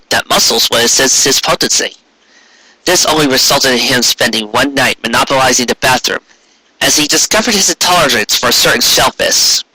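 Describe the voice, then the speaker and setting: A man reading a story aloud as a dramatic narrator, with expressive emphasis and wry asides. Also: mid-range voice, slightly rough, no room sound, rough recording. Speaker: fan fiction reader.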